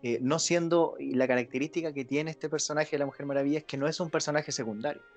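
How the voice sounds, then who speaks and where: Guy breaking down superhero stuff point by point, normal voice, soft music behind. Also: fairly high pitch, fairly even intonation, fast talker. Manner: explanatory, lays out points in a lecturing way. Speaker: podcast co-host. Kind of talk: casual chat.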